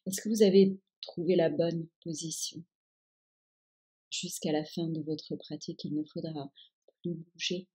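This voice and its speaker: Female meditation guide, low-pitched and very unhurried, calm and soothing delivery of relaxation instructions. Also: husky. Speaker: yoga nidra guide.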